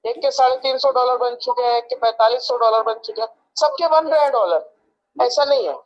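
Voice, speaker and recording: A man's voice: extremely high pitch, a little fast. A man on a phone call making casual sales talk, explaining defensively and repeating himself. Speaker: seller of paid channel promotion. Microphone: telephone call. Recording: dull, lo-fi phone call audio.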